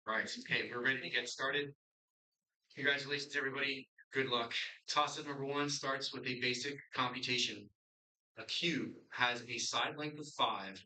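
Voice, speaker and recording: Bright-voiced male quiz moderator. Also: medium pitch, moderate pace, rough recording. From Connecticut. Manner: relaxed, with frequent um and uh fillers between steady question reading. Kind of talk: moderating and reading quiz questions.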